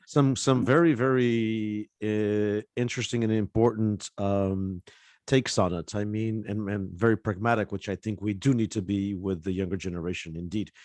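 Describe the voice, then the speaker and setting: A middle-aged man from Portugal moderating a panel discussion off the cuff, speaking in a halting, wordy, thoughtful way. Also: lowish voice, fairly even intonation, darkish tone, no echo.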